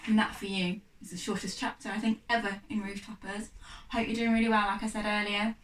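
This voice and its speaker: A woman reads a children's storybook aloud like a teacher, with expressive, deliberate phrasing. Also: medium pitch, slight background noise. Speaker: primary school teacher reading aloud. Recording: echoey room, distant microphone.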